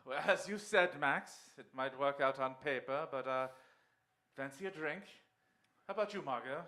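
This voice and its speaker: An actor playing a suave, caught-out husband in a stage radio drama, polite and composed. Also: male voice, mid-range voice, moderate pace, pushed and bright, close to an everyday voice. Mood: resigned, urbane. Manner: polite, urbane. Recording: roomy live stage, clearly noisy.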